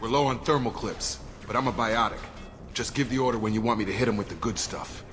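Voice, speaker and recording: A man's voice: medium pitch, quick pace, over loud music, natural voice. An actor with an American accent playing a loyal, plain-spoken male soldier in a video game, matter-of-fact and military in manner. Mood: urgent. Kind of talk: video game dialogue acting.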